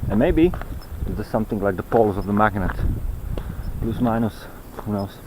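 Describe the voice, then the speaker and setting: Low-voiced guy rambling slowly on a walk outside, keeps searching for words, some outdoor noise. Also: animated. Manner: halting, fragmented, searching for words. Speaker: vlogger. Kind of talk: unscripted rambling monologue while walking. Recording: outdoor ambient noise while walking.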